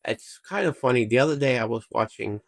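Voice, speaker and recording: A man in his fifties hosting an interview, asking relaxed questions and reminiscing. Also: mid-range voice, a little fast, no echo, studio quality. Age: 50s. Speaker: interview host. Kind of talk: conversational interview.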